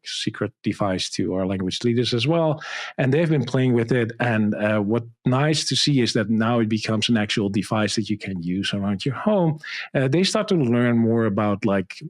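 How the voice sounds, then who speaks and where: A man with a Dutch accent, a podcast guest explaining software in casual, rambling chat with many fillers. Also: fairly low pitch, no echo. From Netherlands. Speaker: guest developer.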